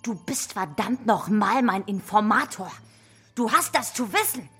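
A woman's voice: medium pitch, animated, measured pace, pushed and bright, breathy edge, faint music behind, exaggerated voice. A woman playing an imperious, short-tempered villain boss in a children's radio play, theatrical, scornful and commanding. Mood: angry, impatient.

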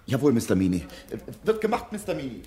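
An actor playing a harried, plain-spoken theatre stage manager in a German radio crime drama, slightly flustered and hesitant. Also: male voice, medium pitch, wildly swooping pitch, quick pace, dark tone.

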